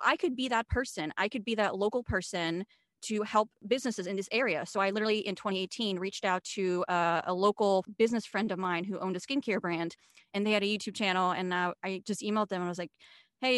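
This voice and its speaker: A woman's voice: mid-range voice, a bit bright, no room sound. Chatty Swiss-born woman, dry sound. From Switzerland. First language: not English. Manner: chatty, storytelling with fillers. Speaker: guest, video marketing coach. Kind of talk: casual interview chat.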